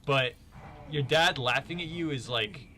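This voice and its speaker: A young man in his twenties with a bright, forward voice at an average male pitch. He talks casually while gaming, stumbling into repeats as he works through a story or a move. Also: unhurried, a little hiss or hum. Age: 20s. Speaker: gamer telling stories while playing. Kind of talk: casual banter over gameplay.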